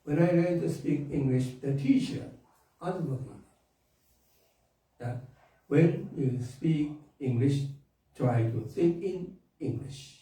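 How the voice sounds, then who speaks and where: A man delivers a sermon in a slow, halting way. His voice is very dark and mellow. He is picked up from a distance in a strongly echoing room. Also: mid-range voice. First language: Japanese. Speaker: minister. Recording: echoey room from a distant mic.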